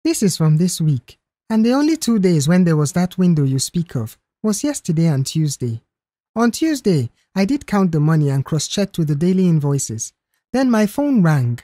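A man's voice: fairly high pitch, very animated, moderate pace, warm tone, no echo, clean studio sound. A man voicing a young female office cashier in an audiobook, defensive and explanatory in manner. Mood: explaining. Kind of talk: audiobook character dialogue.

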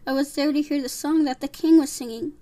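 A woman's voice: sits high, steady tone, fairly quick, dark tone, pitched above the performer's own voice, natural voice. A woman voicing a small young male mouse, polite and earnest, in a storybook. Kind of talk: audiobook character voice.

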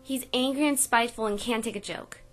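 A woman's voice: on the high side, fairly quick, soft background music, close to an everyday voice. A woman voicing an earnest, curious, jumpy young woman who acts and narrates in a webcomic dub. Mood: earnest, fascinated. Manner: earnest, expressive. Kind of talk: dubbed webcomic acting and narration.

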